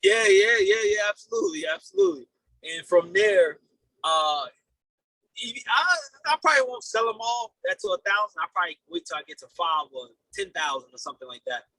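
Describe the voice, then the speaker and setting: A man in his late twenties speaking as a podcast guest in an animated, fairly high voice. He sounds bright and forceful, answering casually and earnestly, often doubling back on his words. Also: fairly quick, vocal fry, no room sound, rough recording. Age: late 20s. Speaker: podcast guest, real estate investor.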